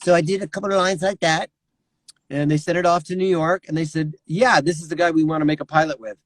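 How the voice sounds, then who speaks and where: A middle-aged man, a voice actor, telling lively anecdotes over a remote call and slipping into character voices. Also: on the high side, fast talker, no room sound. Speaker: voice actor guest. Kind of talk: animated anecdotal storytelling.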